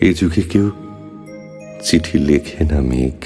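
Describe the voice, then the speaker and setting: A man's voice: very deep voice, takes it slowly, mellow. A man playing a lovelorn, brooding poet in an emotive recited verse dialogue, with theatrical phrasing. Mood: wistful. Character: lovelorn male poet, wistful, yearning, brooding. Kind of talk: dramatic Bengali poetry recitation as phone dialogue. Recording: long reverb, music underneath.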